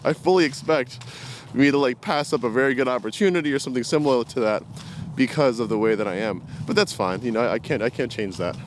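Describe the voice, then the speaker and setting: A man's voice: mid-range voice, fast talker. Rambling young man vlogging outdoors, background noise. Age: 20s. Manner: rambling, reflective, hesitant. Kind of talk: casual unscripted talk to camera. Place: outdoors, walking in woods. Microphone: camera carried while walking.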